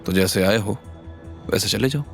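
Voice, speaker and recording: A man's voice: fairly low pitch, moderate pace, vocal fry, a bit bright, faint music behind. A man playing a cocky, defiant young husband in an audio drama, blunt and nonchalant. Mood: arrogant.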